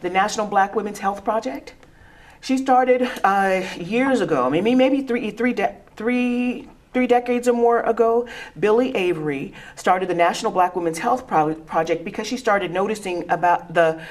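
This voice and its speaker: A woman's voice: medium pitch, normal speed. A middle-aged woman educator speaking on a discussion panel in an earnest, conversational way, often restarting words and laughing.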